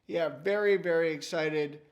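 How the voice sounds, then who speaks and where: A man's voice: fairly high pitch, normal speed, muffled recording. A man hosting a local podcast, reading an upbeat intro and then chatting casually with his co-host. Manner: upbeat scripted intro, then relaxed chat.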